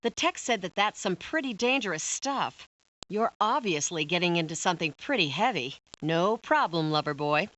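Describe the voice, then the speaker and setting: A brusque records technician in a detective video game. She is terse and sarcastic, short on patience, with a very lively pitch. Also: moderate pace. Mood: wry, cautioning. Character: busy, brusque female police lab and records technician. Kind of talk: scripted video game acting.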